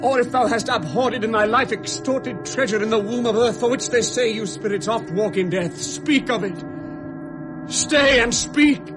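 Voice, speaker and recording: An actor playing an educated, steadfast gentleman in a classical Shakespearean radio drama, speaking formal declamatory verse. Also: very high-pitched, moderate pace, over music. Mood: urgent, commanding. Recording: 1970s radio drama with background sound effects.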